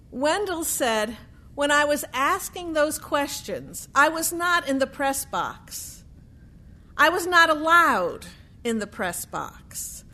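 A woman telling a true story as a storyteller, with deliberate, measured phrasing. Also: sits high, a bit bright.

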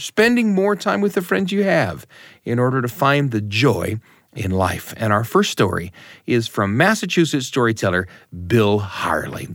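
A man hosts a story program with an upbeat, emphatic delivery. His pitch moves in lively swings, and the studio sound is close and dry. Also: no room sound. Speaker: radio show host. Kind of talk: scripted, conversational story-show introduction.

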